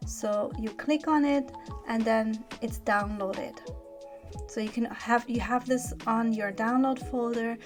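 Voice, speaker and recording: A woman's voice: on the high side, steady tone, slow pace. A woman with a German accent, a native German speaker, explaining a website step by step in a patient, casual way with many small fillers and check-ins. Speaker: bag pattern designer. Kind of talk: screen-recorded website walkthrough. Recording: voice-over with music behind. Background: background music.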